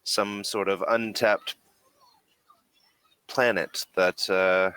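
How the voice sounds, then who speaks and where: A man playing a secretive, persuasive corporate agent in a tabletop game, speaking in a deliberate, halting, emphatic way. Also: low voice, fairly even intonation, pushed and bright, clean tone, dry recording, exaggerated voice. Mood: secretive, grave. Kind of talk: in-character tabletop role-play.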